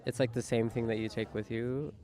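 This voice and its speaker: A man's voice: medium pitch, steady tone, a little fast, warm tone. A man giving casual interview answers, informal and tentative in how he words them.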